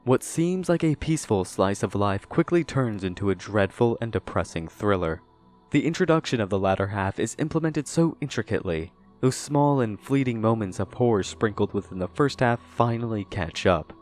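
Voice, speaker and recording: A man reading a scripted, analytical video review in a measured, deliberate way. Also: animated. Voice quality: low-pitched voice. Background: background music.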